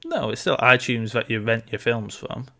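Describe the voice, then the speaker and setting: A man's voice: medium pitch, lively intonation, normal speed, vocal fry, sharp tone. A man co-hosting a podcast, talking casually in a film discussion. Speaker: podcast co-host.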